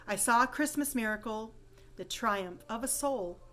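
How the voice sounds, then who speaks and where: A woman reading a rhyming holiday story poem aloud with expressive, dramatic storytelling emphasis. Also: a little high, normal speed. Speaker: poem reader.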